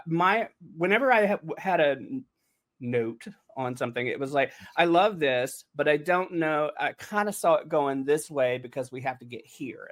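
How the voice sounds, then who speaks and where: A man's voice: fairly high pitch, a bit bright. A man hosting a casual behind-the-scenes podcast chat, jokey and rambling, with some casual swearing.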